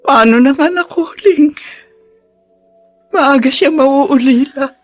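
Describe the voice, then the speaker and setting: A woman with a Filipino accent playing a gentle, ailing middle-aged mother in a radio drama. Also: high-pitched, slow pace, no room sound, soft background music. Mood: anguished. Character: gentle, anguished middle-aged mother who is gravely ill.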